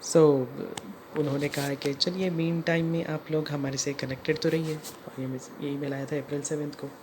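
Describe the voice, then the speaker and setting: Indian vlogger over clear background noise. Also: medium pitch, flat delivery, normal speed. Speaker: customer narrating own complaint as video creator. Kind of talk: explanatory vlog narration mixing in English phrases.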